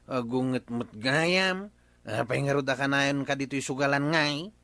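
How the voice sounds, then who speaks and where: An actor with an Ilocano accent playing a blustering, nosy village tricycle driver who talks loudly and questioningly in a radio comedy. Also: male voice, mid-range voice, moderate pace, dry recording, lightly acted.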